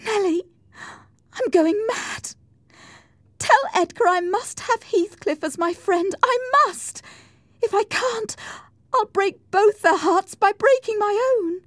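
A woman voicing a passionate, angry young married woman with theatrical, shrill outbursts in an audiobook story. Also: huge pitch swings, a little slow, a little mellow, husky, no room sound, clean studio sound, heavily acted. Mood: desperate, overwrought. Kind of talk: audiobook character acting.

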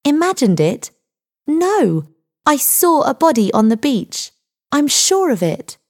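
A woman's voice: on the low side, lively intonation, moderate pace, natural voice. A woman voicing an indignant sixteen-year-old girl in a story, firm, impatient and emphatic. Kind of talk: audiobook character dialogue.

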